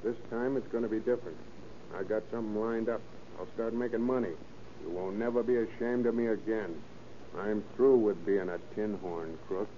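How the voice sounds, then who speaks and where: On a muffled, faintly hissy old radio recording, an actor plays a small-time male crook with a low voice. He talks in tough underworld slang, defensive and scheming, in 1930s crime drama style. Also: fairly even intonation, normal speed, mellow, natural voice. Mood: earnest promising.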